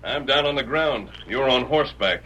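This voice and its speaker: An actor playing a calm, commanding masked western hero in an old radio drama, speaking in a crisp, authoritative, measured way. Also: male voice, mid-range voice, lo-fi sound, natural voice. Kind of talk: 1940s-style radio western acting. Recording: noisy old radio recording.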